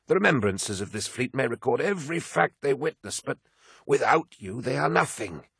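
A man voicing a devoted, frail male equerry in an audiobook, raspy, reverent and formally deferential. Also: medium pitch, expressive, moderate pace, sharp tone, dry recording, clean studio sound, pitched above the performer's own voice, full character voice. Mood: fervent praise. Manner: deferential, fervent, formal address.

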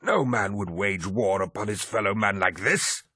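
A man voicing a frail, defiant elderly ruler with a thick antique accent and a raspy voice in an audiobook. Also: lowish voice, moderate pace, bright, forceful tone, no echo, clean studio sound. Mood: indignant. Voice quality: raspy and gravelly. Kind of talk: audiobook character voice.

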